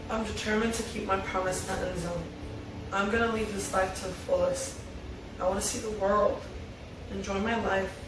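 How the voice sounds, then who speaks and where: A woman's voice: mid-range voice, fairly even intonation, moderate pace, background noise. A woman reading lines from a drama script aloud at a table read. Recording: heavy room echo, distant, music behind.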